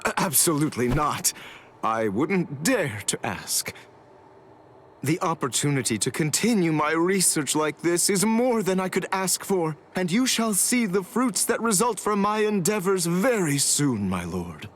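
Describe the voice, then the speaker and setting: A man's voice: fairly high pitch, very animated, measured pace, a little hiss or hum. An actor playing a theatrical, effusive mad scientist in an anime game, a man both gloating and obsequious. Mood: fawning. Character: Manic, gloating mad scientist man, fawning to his master. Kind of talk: anime video game voice acting.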